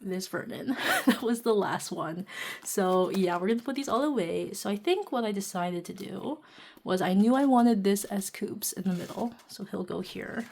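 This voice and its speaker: A woman vlogger chatting casually and a little quietly while sitting down at home, with lots of fillers and laughs. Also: medium pitch, moderate pace, creaky. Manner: talking softly while seated. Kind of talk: casual chatty vlog narration. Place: indoors at home, seated.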